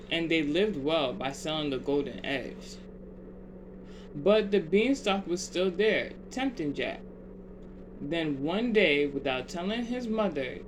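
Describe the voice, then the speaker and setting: A read-aloud narrator tells a bedtime story at a slow pace. The pitch sits in the middle and the phrasing is measured. There is clear noise in the background.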